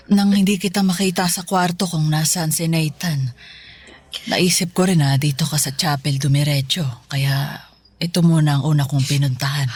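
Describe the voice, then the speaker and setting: An actress in a Filipino radio drama playing a caring older mother, concerned and gently admonishing with her grown daughter. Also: low-pitched, slightly rough.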